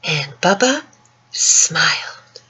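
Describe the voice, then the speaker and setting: A woman reads a children's storybook aloud in an expressive, dramatic way, speaking directly to young listeners, with a husky voice. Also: low voice, drawn-out words. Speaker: storyteller reading a picture book to children.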